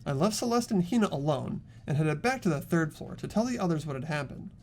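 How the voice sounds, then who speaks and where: A man's voice: fairly high pitch, lively intonation, a little fast, darkish tone, faint music behind. A man playing an earnest teenage boy investigator in dramatic video game dialogue. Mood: earnest, recounting. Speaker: voice actor in a fan playthrough.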